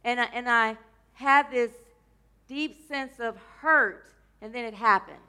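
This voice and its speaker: A woman minister giving a loose, chatty sermon to her congregation. Her voice is scratchy today and she keeps clearing her throat, and she tends to wander off topic and joke along the way. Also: on the high side, measured pace, bright, forceful tone. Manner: rambling, playful, drifts off topic mid-sentence. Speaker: minister of a church.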